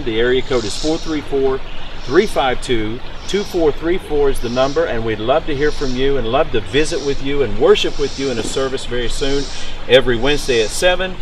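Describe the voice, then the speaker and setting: Middle-aged male pastor, upbeat announcement, loud and projected, distant outdoor sound with faint hiss. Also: medium pitch. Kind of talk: church promo close. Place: outdoors on a footbridge in a park. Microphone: far camera.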